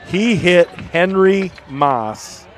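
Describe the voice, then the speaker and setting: A man's voice: high-pitched, lively intonation, drawn-out words, a faint crowd. A man co-commentating on a football broadcast in a casual, folksy, joking manner. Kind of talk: casual sports commentary and banter.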